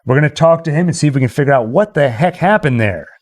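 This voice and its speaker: A man hosting a casual livestream in a playful, joking tone. His voice sits a little high and moves animatedly as he chats and recaps. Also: animated, fast talker. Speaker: livestream host. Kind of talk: casual chat and story recapping.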